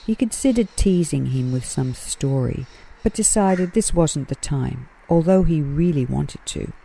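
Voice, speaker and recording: Children's read-aloud narrator, deeply mellow tone, creaky voice, scripted storytelling, faint background noise. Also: expressive, measured pace, rough recording.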